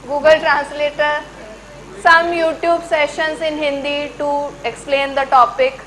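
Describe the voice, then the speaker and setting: A woman's voice: high-pitched, flat delivery, a little slow, a bit bright, rough recording. A woman with an Indian English accent leading an interactive workshop session, quizzing the audience and mixing in Hindi. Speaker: workshop trainer. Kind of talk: interactive classroom teaching. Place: large lecture hall.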